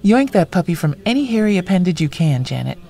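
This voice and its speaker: A woman's voice: on the low side, quick pace. An actress playing a blunt, playful woman near forty in audio drama dialogue, speaking directly. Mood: mischievous. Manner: direct, animated delivery.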